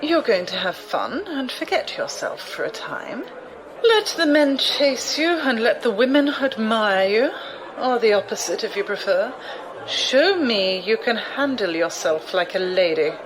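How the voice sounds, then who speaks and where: A woman's voice: a little high, very animated, measured pace, background noise. An actress playing a sly, amused, commanding old noblewoman in an audio drama, with refined, teasing, theatrical delivery. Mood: wry, commanding. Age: older.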